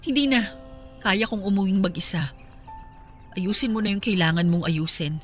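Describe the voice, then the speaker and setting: Give the part to an actress playing a hurt Filipino wife, guarded but firm with the husband who cheated on her. Her voice is bright and keen, in a radio drama style, with soft music underneath. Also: mid-range voice, animated, moderate pace, lightly acted. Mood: guarded, wistful.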